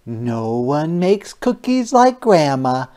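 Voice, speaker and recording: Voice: mid-range voice, big pitch swings, slow, a little mellow. Storyteller putting on a singsong, welcoming mother. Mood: wistful. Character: welcoming mother proud of plain home baking.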